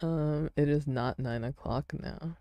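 Chill low voiced woman streaming, lots of likes and laughs, slow talker. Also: dry recording. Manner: relaxed, laughs often, many fillers. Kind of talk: casual chat while drawing.

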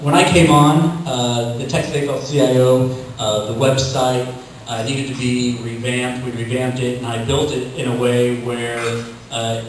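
A man speaking informally as a panelist, explaining his work at a conference. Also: mid-range voice. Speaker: panelist, digital communications staffer. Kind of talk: panel discussion answer. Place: hotel conference ballroom, recorded from the audience. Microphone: distant camera picking up the room sound. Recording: distant, very echoey room recording, faint noise.

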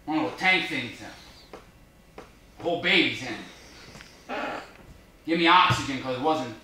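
Male stage actor as folksy fisherman, thirties, excitable, informal interjections, wildly swinging pitch, echoey distant theater recording. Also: slow pace, a little hiss or hum, full character voice. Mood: halting, reflective. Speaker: stage actor in a solo show. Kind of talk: theatrical monologue in character. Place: theater stage before a seated audience. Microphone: distant camera.

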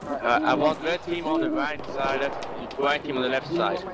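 A man's voice: medium pitch, moderate pace. A man with a mixed Norwegian-Swedish accent, mumbling clipped orders as a squad leader over game voice chat. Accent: Norwegian-Swedish mix. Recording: loud gunfire and battle noise.

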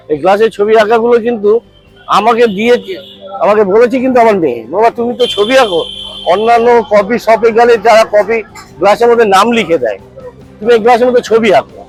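High-voiced middle-aged Bengali shopkeeper, male. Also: low-quality recording. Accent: Kolkata Bengali. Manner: conversational, checking the listener follows. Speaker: coffee stall owner and cartoonist. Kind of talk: informal interview answers.

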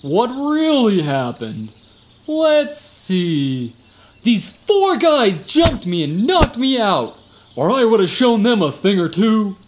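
Casting: self-important bumbling security guard for radio comedy, slow-talking with hugely animated intonation. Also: male voice, high voice, a little hiss or hum, full character voice. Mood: flustered exaggeration. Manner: bragging, exaggerated, bumbling. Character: boastful, dim, attention-hungry male security guard. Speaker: temple guard witness. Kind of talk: comic radio play.

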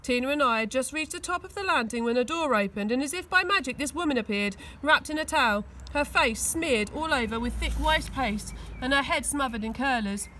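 A woman's voice: high-pitched, lively intonation, brisk, hardly any pauses, sharp tone, slight background noise, low-quality recording. A woman reading a children's story aloud expressively, recorded outdoors. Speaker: school teaching assistant reading aloud. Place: outdoors on grass.

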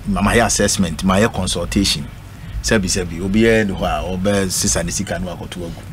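A man's voice: low-pitched, fairly even intonation, fairly quick. A middle-aged man with a Ghanaian accent speaking emphatically as a guest in a radio panel discussion, repeating his phrases. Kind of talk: political panel discussion, code-switching. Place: indoor radio studio. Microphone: studio microphone on a stand. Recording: noisy live radio studio stream.

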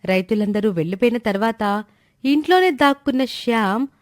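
A woman's voice: a little high, expressive, normal speed, dry recording, clean studio sound. A woman narrator reading a scripted moral story in a storytelling style.

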